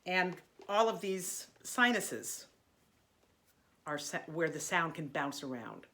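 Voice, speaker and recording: Bright, lively woman teaching voice. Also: on the low side, slow pace. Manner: gentle, chatty, demonstrating vocal sounds. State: slightly congested from allergies. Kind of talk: online singing lesson.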